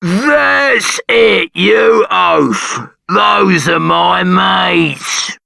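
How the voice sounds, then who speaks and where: A belligerent tavern ruffian for a video game. The voice is heavily gravelled and sharp, with slow, aggressive, shouted delivery in a dry recording. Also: male voice, high-pitched, no echo, heavily acted. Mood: angry, accusing. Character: belligerent pub ruffian spoiling for a brawl. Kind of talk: video game character acting.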